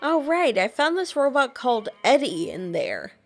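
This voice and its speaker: A woman's voice: sits high, huge pitch swings, normal speed, faint music behind. A young woman voicing her game character, asking questions hesitantly with fillers. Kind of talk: casual game voice-acting.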